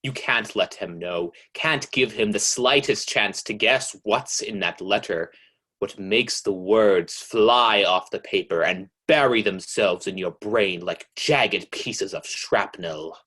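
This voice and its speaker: A man playing a knowing, ominous narrator of an old-time radio mystery, speaking in a deliberate, insinuating way. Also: lowish voice, pushed and bright, slightly rough, full character voice. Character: knowing, ominous male narrator who needles the guilty heroine. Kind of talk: 1940s radio mystery narration, second person.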